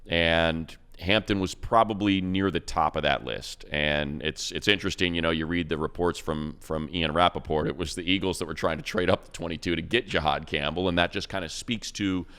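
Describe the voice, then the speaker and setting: Male sportscaster, very low voice, smooth and resonant, relaxed conversational football analysis. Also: fairly quick, hardly any pauses. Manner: conversational, rambling analysis with fillers. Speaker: radio play-by-play announcer. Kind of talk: casual sports talk.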